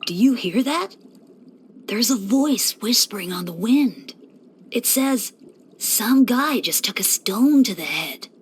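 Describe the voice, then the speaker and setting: A woman's voice: on the high side, animated, takes it slowly, a little hiss or hum. A woman voicing a playful young male church deacon in a video game, breezy and teasing with theatrical mock solemnity. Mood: hushed playful. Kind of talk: scripted video game character voice lines.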